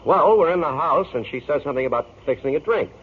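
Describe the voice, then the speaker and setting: An actor playing a shifty, wisecracking cafe owner, stammering and evasive, in 1940s radio noir style. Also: male voice, fairly high pitch, lively intonation, fast, slightly rough. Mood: nervous, defensive. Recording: tinny, noisy old radio broadcast.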